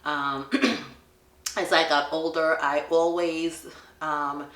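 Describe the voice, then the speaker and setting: A middle-aged woman with a Jamaican accent, telling her son stories in a relaxed family question-and-answer chat and laughing often. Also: low voice, very slow, reverberant. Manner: warm storytelling, laughs often.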